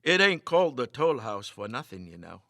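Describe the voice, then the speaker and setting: A man's voice: mid-range voice, sing-song, moderate pace, vocal fry, sharp tone, no room sound, clean studio sound, exaggerated voice. A man voicing a poor, indulgent country innkeeper with rustic, foreboding speech in a storybook reading. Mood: grim warning.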